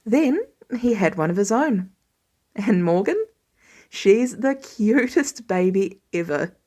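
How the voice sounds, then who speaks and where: A woman reading fan fiction aloud as an expressive audiobook-style narrator. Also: mid-range voice, slow pace. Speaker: podfic reader.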